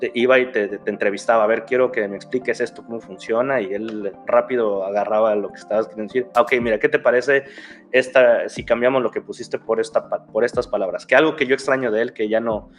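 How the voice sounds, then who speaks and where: Mexican guy rambling thru an interview, lots of restarts, mid voice, soft music under it. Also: mid-range voice, steady tone, quick pace. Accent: Mexican Spanish. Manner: rambling, many restarts and fillers. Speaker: guest, security researcher. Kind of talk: casual interview talk.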